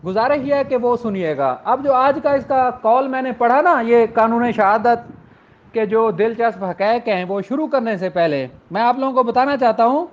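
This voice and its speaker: Very high-voiced earnest male lecturer. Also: moderate pace. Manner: earnest, addresses listeners as sisters and brothers. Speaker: law lecturer. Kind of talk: motivational lecture. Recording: dull audio with faint background noise.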